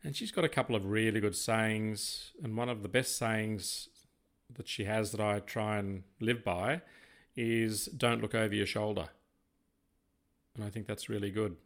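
A middle-aged Australian man chatting reflectively as a podcast co-host, telling things in a rambling, unhurried way. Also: lowish voice, steady tone, fairly bright tone. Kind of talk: reflective conversational chat.

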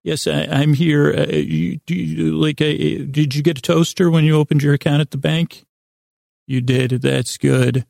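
A man with a gravelly, creaky voice, recorded close and dry. He hosts a bedtime show, rambling in a soothing, improvised way. His sentences stumble, restart and wander off into gentle tangents. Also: on the high side, animated, unhurried, no echo. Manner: meandering, stammering, with repeated false starts and tangents; lulling, soothing delivery. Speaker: host of a bedtime sleep podcast.

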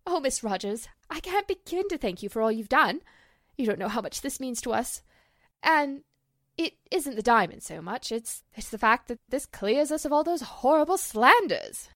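A woman's voice: high voice, expressive, moderate pace, no echo, clean studio sound. A woman acting an emotional, theatrical famous actress in a 1940s-style radio drama. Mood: grateful.

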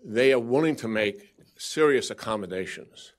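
A man, a government official, answering questions at a press briefing in a measured way, restarting his sentences now and then. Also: mid-range voice, expressive.

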